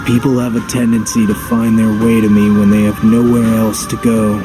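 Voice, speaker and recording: Guy doing brooding young video game hero, lowish voice, terse n dry, music in the back. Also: close to an everyday voice, low-quality recording. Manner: dry, guarded, understated. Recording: background music.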